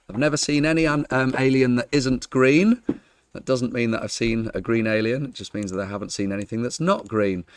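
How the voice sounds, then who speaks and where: A man with a croaky, half-lost voice, talking through a screen-recorded modeling tutorial in a chatty, jokey way with frequent ums. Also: medium pitch, dry recording. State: croaky, losing his voice. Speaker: Blender tutor.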